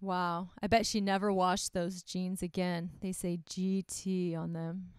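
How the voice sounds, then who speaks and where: Very clear, smooth-voiced woman. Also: on the low side, flat delivery, measured pace, darkish tone, no echo, studio quality. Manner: teasing, sarcastic. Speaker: comic and podcast co-host. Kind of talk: casual comedic banter.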